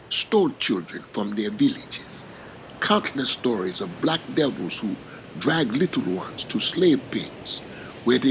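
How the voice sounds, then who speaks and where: Man acting enslaved African boatman, solemn, formal, slow measured delivery, gravelly, faint background hiss. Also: a little high, exaggerated voice, low-quality recording. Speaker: narrator in character. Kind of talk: dramatic first-person monologue.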